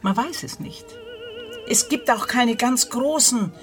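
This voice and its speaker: An older woman reflecting in a documentary interview, searching haltingly for her words and posing questions to herself. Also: fairly high pitch, very animated, music in the background.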